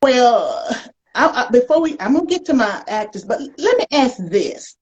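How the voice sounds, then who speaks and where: A woman's voice: a little high, sing-song, moderate pace, a little mellow, husky, muffled recording. A woman from North Carolina with a Southern US accent, hosting a casual live interview in a chatty, teasing, direct way. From North Carolina, United States. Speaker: talk show host.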